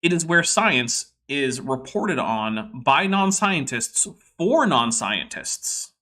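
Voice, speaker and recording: A man from the Boston area hosting a podcast and explaining things in quick, talkative bursts. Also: mid-range voice, big pitch swings, sharp tone. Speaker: podcast host. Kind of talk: casual commentary.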